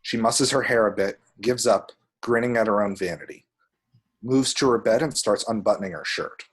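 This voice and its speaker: A man reading screenplay action descriptions aloud at a table read, with a husky voice. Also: fairly low pitch, moderate pace.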